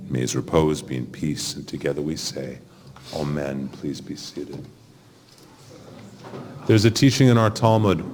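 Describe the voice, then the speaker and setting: Brief: male officiant, rabbi, deep voice, gentle conversational funeral address, audible background noise. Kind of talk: funeral homily and prayer leading. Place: funeral chapel.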